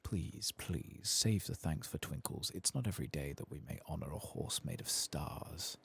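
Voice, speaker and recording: Pompous, condescending game character, played by a man, very low and husky, florid haughty delivery. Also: dry recording, heavily acted. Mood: grandiose. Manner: haughty, florid, drawn-out phrasing. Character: pompous, condescending, rich adventurer from a fantasy game.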